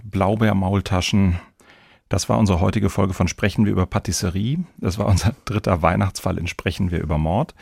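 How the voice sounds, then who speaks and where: Man, fairly low husky voice, dry sound, measured podcast hosting. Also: a little mellow, no room sound. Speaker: podcast host.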